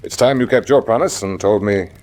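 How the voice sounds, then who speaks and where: An actor playing a stubborn, hot-tempered young heir in a radio drama, speaking in a blunt, forthright manner. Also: male voice, fairly low pitch, fairly quick, darkish tone, lightly acted. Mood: puzzled, frank. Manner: blunt, forthright, hesitating then firm. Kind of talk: classic radio drama acting.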